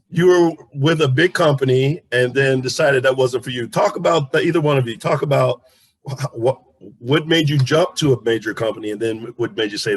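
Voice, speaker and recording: A man's voice: a little high, poor audio. A man hosting a casual interview, speaking in a conversational way as he reacts and asks questions. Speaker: interviewer, host.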